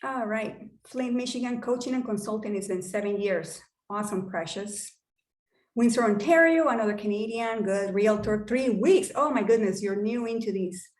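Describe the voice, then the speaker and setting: A woman's voice: fairly high pitch, normal speed. Workshop host: a woman with a Colombian accent, native Spanish speaker, casual and upbeat, in a reverberant room. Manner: casual and upbeat, with occasional swearing. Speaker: workshop host and wealth coach. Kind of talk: online workshop talk.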